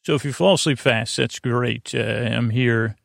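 A man's voice: medium pitch, vocal fry, fairly bright tone, no echo, clean studio sound. A man hosting a sleep podcast, giving a soothing, meandering monologue that halts, corrects itself and drifts into tangents. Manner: rambling, halting, frequent tangents and self-corrections; soothing, lulling delivery. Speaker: podcast host.

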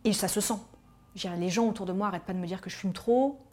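A woman's voice: medium pitch, fast, darkish tone. A woman, candidly telling her own life story with frequent fillers. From Paris, France. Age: 30s. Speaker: tobacco cessation specialist telling her story. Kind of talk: personal storytelling podcast.

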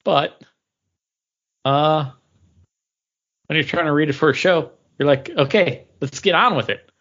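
A man co-hosting a podcast, casually giving a review as animated anecdote. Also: a little high, unhurried, muffled recording. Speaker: podcast co-host reviewing comics. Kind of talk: casual talk, book review.

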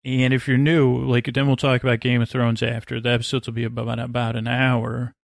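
A man with a creaky voice hosting a sleep podcast, rambling gently and lullingly, often breaking off and drifting into tangents. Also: medium pitch, completely flat delivery, no echo, clean studio sound. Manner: meandering, digressive, halting with self-interruptions. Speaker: podcast host. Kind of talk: rambling, soothing bedtime monologue.

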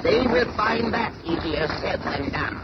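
An actor playing an arrogant alien supervillain in a superhero radio drama, with grandiose, menacing, drawn-out declarations. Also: male voice, sits high, animated. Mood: gloating, scornful. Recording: muffled, very noisy old radio recording.

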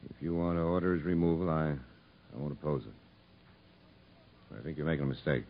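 A man playing a blunt, commanding prison camp captain in a 1950s radio drama, unyielding and husky-voiced. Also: very deep voice, normal speed. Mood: firm, guarded. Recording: muffled vintage radio broadcast.

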